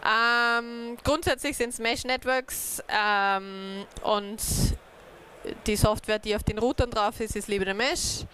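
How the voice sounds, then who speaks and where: A woman's voice: fairly high pitch, steady tone, slow, bright, forceful tone, a little hiss or hum. A young woman, a native speaker, giving an informal talk to a small group about community networks, hesitant with many fillers. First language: German. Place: dark event room, on stage.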